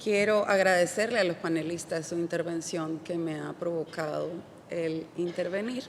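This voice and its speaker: A woman from El Salvador addresses a parliamentary assembly, speaking in a measured and grateful way. Her voice sits at a middle pitch. The recording rings with a long hall reverb and faint noise. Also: fairly even intonation. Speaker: parliamentary delegate. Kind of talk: formal floor intervention. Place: large conference hall.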